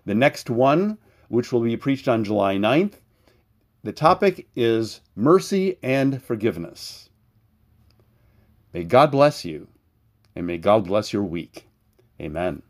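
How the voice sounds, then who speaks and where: A man giving a sermon as pastor, preaching in an earnest, measured way and reading scripture aloud. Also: mid-range voice, sing-song, a little mellow.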